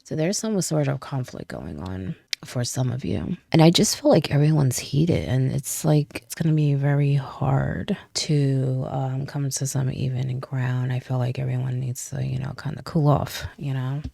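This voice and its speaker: A woman's voice: rumbling low, normal speed, gravelly, hardly any pauses, mellow, no room sound. A young woman giving a casual tarot reading, stuffy from a cold, chatty and laughing often. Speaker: tarot reader.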